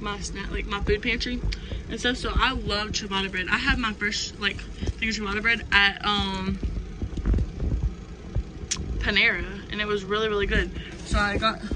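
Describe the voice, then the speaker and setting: A young adult woman giving a casual, unscripted home tour inside a camper in a rambling, offhand manner. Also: medium pitch. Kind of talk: casual, unscripted show-and-tell. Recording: noisy handheld recording, steady background noise.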